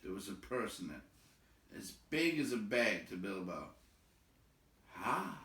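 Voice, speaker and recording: A man narrates a storybook at an unhurried pace with lively swings of pitch. His voice is bright, and the room adds a clear echo. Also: distant mic. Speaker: storybook reader. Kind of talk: expressive read-aloud narration.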